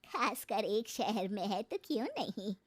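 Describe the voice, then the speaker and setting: A woman's voice: medium pitch, measured pace, close to an everyday voice. Woman acting a polite, placating mother-in-law. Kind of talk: Hindi cartoon moral-story dialogue.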